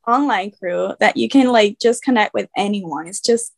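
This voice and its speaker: A woman's voice: medium pitch, moderate pace, creaky. A woman, speaking earnestly as a guest on a video call. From Puerto Rico. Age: 20s. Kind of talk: casual video-call chat.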